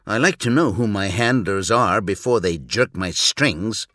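A man's voice: lowish voice, lively intonation, a little slow, a bit bright, no room sound, studio quality. A man voicing a weary, sardonic, long-lived human in an audiobook, with a bitter, sarcastic edge. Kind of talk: audiobook reading.

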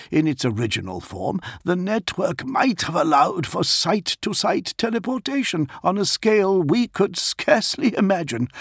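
A scholarly male engineer speaks formally, respectful and careful, his voice lifted well above the teller's. A man acts him out in a dry, close reading with a gravelly edge and a slow pace. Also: fairly high pitch, no echo, voice pushed up, heavily acted.

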